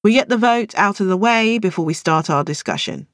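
Woman acting a firm, high-pitched club chairwoman. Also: expressive, a little fast, dry recording, clean studio sound, lightly acted. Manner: orderly, insistent, explanatory.

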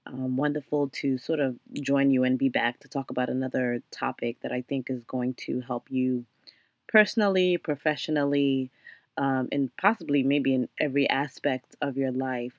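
Slightly hoarse woman podcast host. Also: a bass voice, steady tone, moderate pace, no echo. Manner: many ums and restarts, faith-centered, earnest. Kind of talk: reflective solo podcast monologue.

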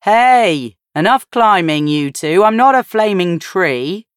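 A woman's voice: mid-range voice, lively intonation, slow, clear, resonant tone, dry recording, studio quality, voice pushed up. A woman voicing a cheerful, affectionate young husband and father in an audiobook, breezy and teasing. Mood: playfully bossy.

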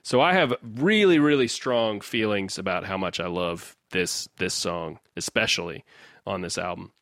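A man's voice: deep voice, moderate pace, a bit bright, no echo. Dry-voiced enthusiastic man chatting. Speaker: podcast co-host. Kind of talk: casual chat.

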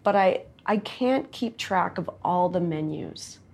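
Woman, nasal voice, loud, candid, chatty, lower pitch. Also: animated, creaky. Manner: candid, chatty, quick asides, laughs easily. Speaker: songwriter and recording artist guest. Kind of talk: casual interview chat.

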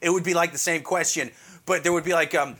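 Raspy high-voiced man hosting. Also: steady tone, fast talker, pushed and bright. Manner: profane, riffing, jokey. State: hoarse after a cold. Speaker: podcast host. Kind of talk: casual chat. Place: basement studio. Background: clear music bed.

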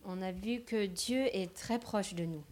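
Slow woman interpreting a sermon. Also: medium pitch, darkish tone. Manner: translating phrase by phrase with hesitations.

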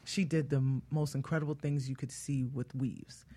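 A woman's voice: rumbling low, fairly even intonation, moderate pace, mellow. A woman speaking as a hair care expert guest in casual, emphatic, colloquial talk.

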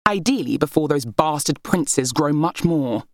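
A woman's voice: deep voice, animated, measured pace, no echo, clean studio sound. A woman voicing a proud, scornful young Egyptian princess in an audiobook story, full of haughty temper.

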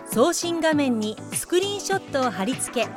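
Lady narrator doing scripted how-to explanations, high voice, clear music underneath. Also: sing-song, moderate pace.

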